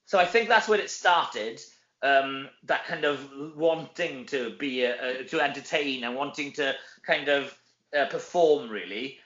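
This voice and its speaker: A man's voice: medium pitch, measured pace, fairly bright tone. A Welsh man chatting casually as an interview guest, self-deprecating and anecdotal, peppering his talk with conversational tags. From Wales. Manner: chatty, self-deprecating, often tags sentences with "you know". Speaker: actor and comedy performer, interview guest. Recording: roomy echo, dull lo-fi sound.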